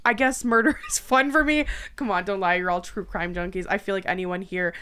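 A woman's voice: medium pitch, a little fast, pushed and bright, clear, resonant tone. A young woman hosting a podcast, chatting casually in an effusive, informal way. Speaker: podcast host.

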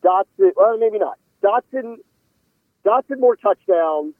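Man, somewhat high pitch, football analyst guest, opinionated, measured, conversational, narrow phone-line sound. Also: expressive, dry recording. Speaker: sports analyst interviewed as a guest. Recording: phone-like radio call audio.

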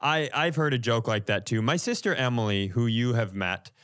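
A man's voice: medium pitch, animated, normal speed, a bit bright. A man co-hosting a comedy podcast, chatting casually with wry, teasing banter.

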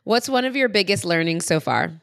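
A woman's voice: lowish voice, very animated, fairly quick, creaky. A woman hosting a podcast in a casual, chatty interview style, with an upbeat, informal and encouraging manner. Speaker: podcast host and wedding planner.

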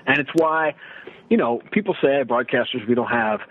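A man talking sports casually as a broadcaster guest, telling stories and throwing out rhetorical questions in an animated way. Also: mid-range voice, normal speed. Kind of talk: casual sports radio discussion. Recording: roomy, thin phone-like radio line.

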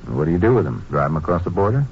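An actor playing a cool, laconic American Texas Ranger with a deadpan delivery, in a 1950s radio drama. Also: male voice, sits low, rapid-fire, slight background noise. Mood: cool, guarded. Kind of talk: 1950s radio drama acting with first-person narration. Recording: muffled vintage 1950s radio recording.

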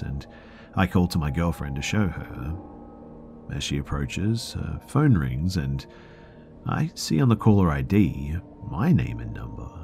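A man with a deep voice narrating first-person scary stories for a podcast, often dropping to a hush. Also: creaky. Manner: hushed, slipping into whispers at tense moments.

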